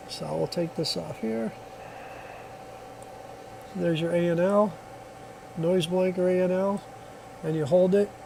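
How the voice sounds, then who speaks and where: A man's voice: high-pitched, gravelly, warm tone, slight background noise, low-quality recording. A man giving a casual hands-on product demonstration, explaining step by step in a plainspoken way. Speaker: product reviewer.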